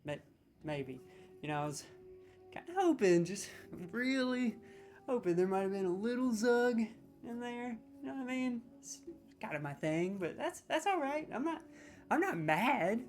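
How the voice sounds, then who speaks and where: A man hosting a comedic gaming video, talking in an excitable, rambling, sarcastic way and swearing freely. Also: very high-pitched, unhurried, faint music behind.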